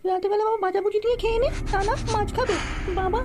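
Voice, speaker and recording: An actor playing a harried village mother in a cartoon, shrill, scolding and nagging. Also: fairly even intonation, very fast. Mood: grumbling. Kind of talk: Bengali cartoon acting.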